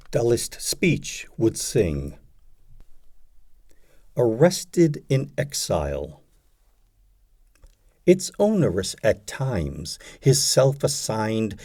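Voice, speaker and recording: A man reading poetry aloud as an audiobook, in a dramatic recitation with measured, deliberate phrasing and pauses. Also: fairly low pitch, warm tone. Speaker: audiobook reader.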